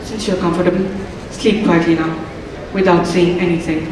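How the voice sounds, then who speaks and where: A young man acting in a stage play as a kind, protective married man, speaking in a calm, measured way. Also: high-pitched, background noise, lightly acted. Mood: calm, soothing. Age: young adult. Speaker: student actor in a stage play. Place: large theatre stage. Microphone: far camera in the hall. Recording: echoey hall from far off, loud music behind.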